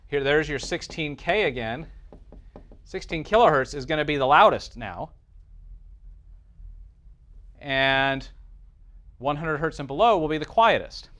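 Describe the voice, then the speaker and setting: A man's voice: on the high side, a bit bright. A man teaching a class in an informal, explanatory way, walking through technical material and checking in with listeners as he goes. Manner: explanatory, checks in with listeners using right and okay. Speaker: teacher, lecturer.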